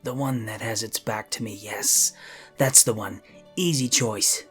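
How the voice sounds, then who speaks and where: A man voicing a smug, scheming elf spellcaster in a fantasy audio drama, musing theatrically in a husky voice. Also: lowish voice, unhurried, soft background music, close to an everyday voice. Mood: gloating.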